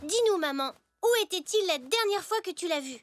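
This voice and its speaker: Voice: very high-pitched, animated, brisk, fairly bright tone, faint music behind. A performer voicing a cheerful, helpful young zebra boy in a French children's cartoon, eager and childlike.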